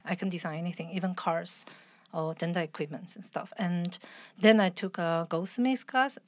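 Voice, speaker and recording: A woman's voice: on the low side, normal speed, no echo. Woman, thirties, Spanish-tinged English accent, chatty interview guest, dry close sound. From Taiwan, raised in Argentina and Canada. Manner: chatty, frequent laughs and restarts. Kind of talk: casual interview conversation.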